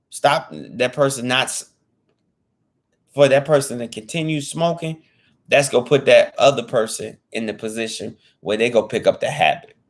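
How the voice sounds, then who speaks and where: A man in his thirties talking casually to camera as a fitness vlogger, earnest and repetitive, restating his points in informal slang. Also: medium pitch. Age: 30s.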